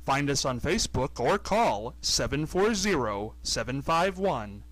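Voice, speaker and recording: A man reading a scripted local radio ad as an energetic sales pitch. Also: measured pace, no echo. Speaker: ad announcer.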